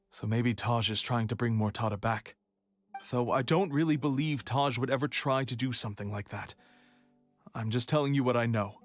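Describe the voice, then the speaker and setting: A man playing a measured, matter-of-fact archive keeper in an anime video game, explaining things soberly. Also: mid-range voice, close to an everyday voice. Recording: music behind the voice.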